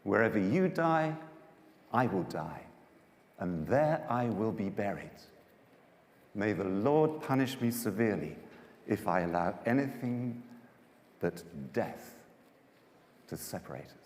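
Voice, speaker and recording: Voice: medium pitch, animated, slow pace, pauses often. Man reading as a devoted, resolute young woman, echoing. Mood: solemn, resolute. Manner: solemn, rhythmic, rising and falling. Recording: echoey hall, long reverb.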